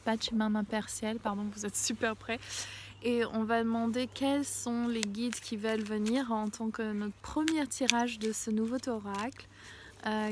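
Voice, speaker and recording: A woman with a head cold doing a casual spiritual card reading outdoors. She pauses often with fillers and sometimes drops to a hushed near-whisper. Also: on the high side, slight background noise. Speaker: oracle card reader. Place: outdoors in a small mossy spot with violets.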